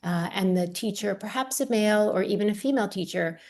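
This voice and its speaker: A woman's voice: medium pitch, a little fast, darkish tone. A woman professor with a mid-range voice explains a topic as a radio guest. She is articulate and knowledgeable. She sometimes repeats a word as she goes. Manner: articulate, expert, lightly repeated words. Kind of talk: radio interview.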